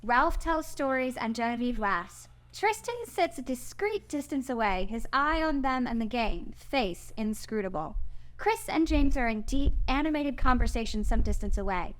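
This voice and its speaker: A woman with a fairly high voice narrates a screenplay. She reads out scene headings and stage directions as scripted narration at a live table read. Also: animated, moderate pace.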